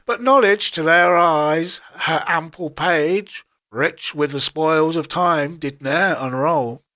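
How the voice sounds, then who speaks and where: A man reciting classic poetry in a solemn, formal style, with measured and deliberate phrasing. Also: on the high side, pushed and bright. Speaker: poetry reader.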